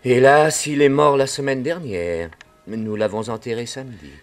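A man playing a polite, cautious reverend in a French radio play, speaking gently and piously. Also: medium pitch, steady tone, moderate pace, close to an everyday voice. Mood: courteous. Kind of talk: old French radio drama acting.

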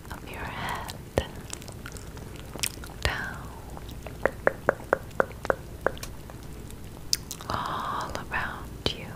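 A young woman voicing a friendly, soothing witch in an ASMR roleplay, whispering softly and gently close to the mic. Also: rough recording. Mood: calm, hypnotic. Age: young adult. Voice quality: soft, breathy whisper. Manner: slow, gentle, reassuring. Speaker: ASMR performer. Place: small dim indoor set, close up. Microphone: close sensitive microphone.

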